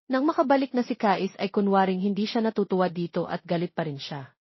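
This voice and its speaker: A woman's voice: medium pitch, fairly quick, breathy edge, no echo, highs cut off. A woman with a Filipino accent reading a scripted romance story as narrator.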